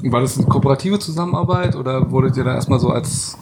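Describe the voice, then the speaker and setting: A man's voice: medium pitch, quick pace. A man hosting a podcast, asking friendly questions that stumble now and then. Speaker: podcast host. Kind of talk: casual podcast interview. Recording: loud background noise.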